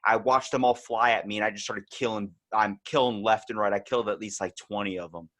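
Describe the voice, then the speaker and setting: A man's voice: fairly low pitch, a little fast. Joking man with a Baltimore accent. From Baltimore, USA. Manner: joking, teasing, deadpan. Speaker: podcast host. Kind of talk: casual chat.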